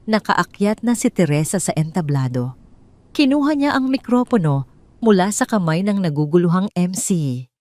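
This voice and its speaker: A woman's voice: fairly low pitch, warm tone. A woman narrating a scripted story in a dramatic storytelling style.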